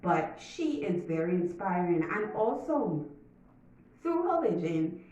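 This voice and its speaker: A woman's voice: on the low side, very animated, mellow. A young Bahamian woman talking casually to her viewers in a vlog, chatty and emphatic, sometimes reading from notes. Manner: chatty, emphatic, drawn-out asides. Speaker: YouTuber. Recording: echoey room, mic at a distance, dull sound.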